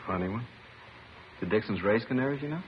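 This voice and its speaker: An actor playing a musing, doubtful investigator in a 1950s radio police drama, speaking in short, laconic remarks. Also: male voice, lowish voice, expressive, fairly quick, close to an everyday voice. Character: musing, doubtful male investigator at a murder scene. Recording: dull, hissy vintage 1950s radio recording.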